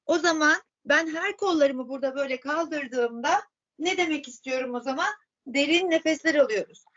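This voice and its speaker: A woman's voice: sits high, normal speed, a bit bright, dull audio. A woman teaching yoga to children, guiding relaxation with encouraging, repeated questions. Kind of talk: guided relaxation instruction for children.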